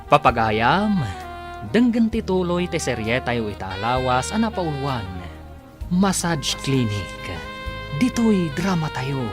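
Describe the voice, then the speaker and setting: Male radio drama narrator, Filipino accent, dramatic scripted delivery, very varied pitch, clear instrumental music behind. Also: very animated, slow. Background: prominent instrumental music.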